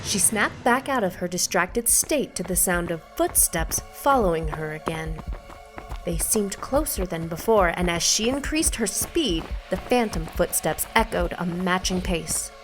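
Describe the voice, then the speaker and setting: A woman's voice: on the low side, expressive, unhurried, music in the background, rough recording. A woman reading a scripted suspense story as its narrator.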